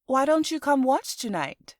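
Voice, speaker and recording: A woman's voice: high-pitched, big pitch swings, measured pace, dry recording, studio quality, voice pushed up. Storybook elder sister, read by a woman: young female dancer, confident, coaxing, playful, a little bossy.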